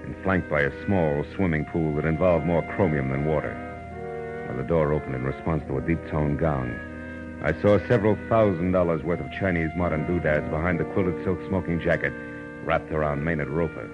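An actor playing a sardonic, pushy American private eye in old-time radio noir, with clipped, slangy, wisecracking delivery. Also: male voice, a bass voice, brisk. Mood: grim narration. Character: hard-boiled, sardonic male private eye, pushy and dogged. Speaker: detective lead and first-person narrator. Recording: muffled old radio recording, music behind.

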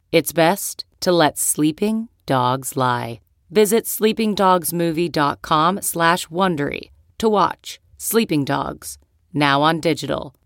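A woman narrates a scripted film advert. Her voice is low and slow, and the recording is dry and close. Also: dry recording. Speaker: advert voice-over.

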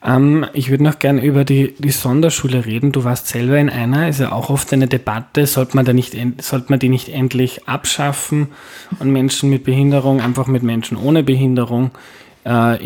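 A man hosting a podcast interview, with a voice of ordinary middle pitch. He asks his questions plainly and conversationally, with the occasional filler. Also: steady tone, moderate pace, a little mellow. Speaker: podcast host. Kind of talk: interview and reflective closing.

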